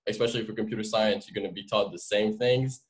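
Podcast guest: man, twenties, French accent, lowish pitch, informal and explanatory. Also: steady tone, quick pace. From France. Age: 20s. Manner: informal, explanatory, frequent "like" and "you know". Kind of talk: casual interview storytelling.

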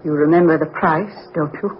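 A woman's voice: deep voice, fairly even intonation, moderate pace, close to an everyday voice. Woman playing a cold greedy nurse in an old radio play, dull noisy recording. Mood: cool, demanding. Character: cold, grasping female private nurse, a conspirator. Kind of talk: old-time radio drama acting.

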